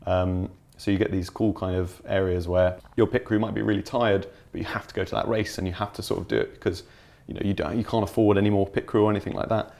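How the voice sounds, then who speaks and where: Low-pitched man explaining in casual chat. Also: little pitch movement, fast, creaky, darkish tone. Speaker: game developer being interviewed.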